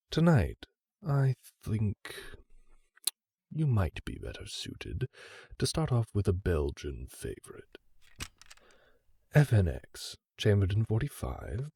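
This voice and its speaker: A man playing a courteous, refined weapons sommelier to assassins in an audio roleplay, with a formal, measured and deferential delivery. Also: low-pitched, vocal fry, warm tone, slightly rough, dry recording, clean studio sound, exaggerated voice. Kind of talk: roleplay audio drama acting.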